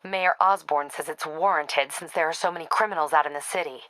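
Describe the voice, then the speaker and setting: Female police captain for a game: slightly low, sharp-edged voice, no-nonsense and weary, curt dispatch-style delivery with dry humor. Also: fairly quick, dry recording, low-quality recording. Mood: brisk, wry. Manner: clipped, businesslike, dryly wry. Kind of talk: video game voice acting, radio dispatch briefings.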